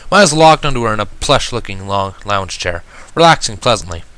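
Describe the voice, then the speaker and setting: A man reading fan fiction aloud as expressive first-person story narration. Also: lowish voice, a little fast, no room sound.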